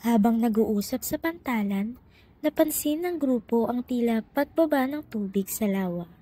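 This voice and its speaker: Female narrator, scripted radio drama. Dark husky tone, medium pitch. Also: animated, moderate pace.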